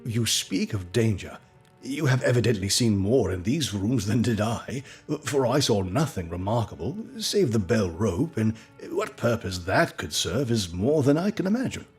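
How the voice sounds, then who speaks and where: An actor playing an earnest, apprehensive, loyal gentleman companion and storyteller in an audio drama, with formal dramatic delivery. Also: on the low side, sing-song, normal speed, slightly rough, soft background music, exaggerated voice. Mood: puzzled. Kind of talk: audio drama acting and first-person narration.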